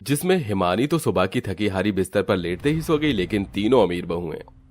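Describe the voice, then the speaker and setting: Male narrator, lower register, scripted storybook delivery for cartoon shorts. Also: animated, normal speed, a little mellow, a little hiss or hum.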